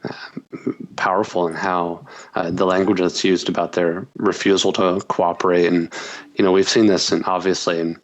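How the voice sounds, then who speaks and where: A man, a journalist and author, answering interview questions in a measured, thoughtful way. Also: low voice, level tone, muffled recording.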